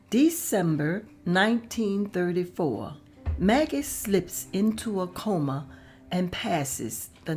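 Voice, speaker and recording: A woman narrating the stage directions at a table read in a plain, steady way. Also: medium pitch.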